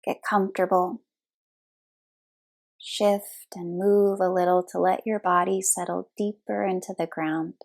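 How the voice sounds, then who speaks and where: A woman leading a guided relaxation meditation as a yoga nidra instructor, speaking soothingly in short, unhurried phrases with long pauses. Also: lowish voice, little pitch movement, creaky, darkish tone.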